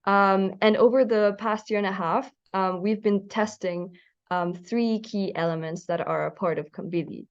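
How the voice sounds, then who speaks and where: A woman's voice: medium pitch, lo-fi sound. A young woman from Finland, a student, eagerly presenting her group's project on a panel with frequent fillers. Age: young adult. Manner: eager, fluent, uses fillers like "um" and "you know".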